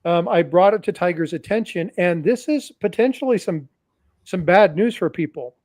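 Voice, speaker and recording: A man's voice: high-pitched, moderate pace, a little mellow, no room sound, clean studio sound. A man hosting a livestream, talking casually and cautioning his audience, often repeating phrases and addressing them directly. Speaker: host. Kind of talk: livestream commentary.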